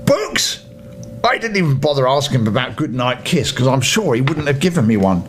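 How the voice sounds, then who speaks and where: A man's voice: big pitch swings, fast. An older man reading a children's story theatrically, with playful asides to the listener. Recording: noisy home recording with rumble.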